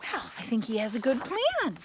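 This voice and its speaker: An older woman reading a children's story dramatically, with emphatic drawn-out words and chatty asides to her listeners. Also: on the high side, wildly swooping pitch, loud music behind the voice, rough recording. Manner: theatrical, emphatic, drawn-out adjectives. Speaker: teacher reading stories to children.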